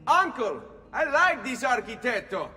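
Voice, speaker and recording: A bold young Italian nobleman in a game cutscene. The voice is bright and forceful, with animated rises and falls. Faint music runs under it in a reverberant space. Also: extremely high pitch, a little slow, full character voice. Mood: light, teasing.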